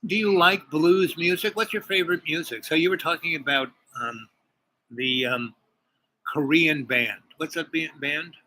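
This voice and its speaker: A man's voice: fairly high pitch, moderate pace, pushed and bright, low-quality recording. A man hosting a casual spiritual chat, rambling with many fillers and restarts. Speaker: podcast host.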